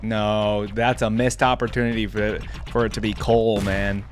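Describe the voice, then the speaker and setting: A man's voice: fairly low pitch, flat delivery, normal speed, noisy background. A man giving casual, off-the-cuff reaction commentary, thinking aloud in a chatty way with informal slang. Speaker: host of a reaction video.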